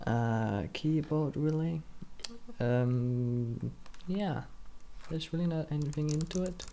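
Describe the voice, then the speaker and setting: A man doing a soft-spoken ASMR roleplay as a shop assistant, talking in a hesitant, halting, unhurried way. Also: mid-range voice, vocal fry, slight background noise. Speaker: ASMR creator playing a keyboard shop assistant.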